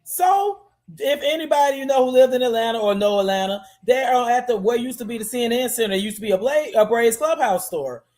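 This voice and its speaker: A woman, relaxed, telling stories on a casual fan chat and repeating words for emphasis. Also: on the high side, brisk. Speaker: podcast co-host.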